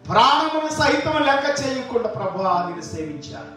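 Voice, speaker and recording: Pastor guy praying hard, high voice, big echo, guitar going behind. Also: sing-song. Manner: impassioned, with slow drawn-out English phrases. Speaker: pastor leading prayer. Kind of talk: fervent spoken prayer. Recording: echoey, distant mic with guitar music. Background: soft guitar playing.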